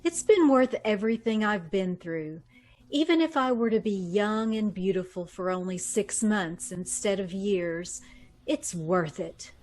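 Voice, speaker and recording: A woman's voice: medium pitch, unhurried, darkish tone, natural voice. A woman playing a secretive young woman in love in 1940s radio drama style, with nervous little laughs and stammers. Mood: relieved, musing.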